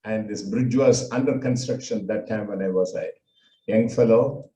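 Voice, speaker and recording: An older man from India with a Tamil accent, giving a halting personal talk with many fillers. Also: fairly low pitch, warm tone, reverberant, dull audio. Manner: halting, with frequent fillers and restarts. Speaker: speaker recounting his life.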